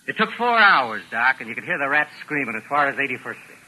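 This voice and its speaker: A man's voice: mid-range voice, very animated, fast talker, bright, forceful tone, exaggerated voice, rough recording. An actor playing a ruthless, bossy American gangster in 1940s radio drama, talking in menacing tough-guy slang. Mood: sadistic relish. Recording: old 1940s radio broadcast recording.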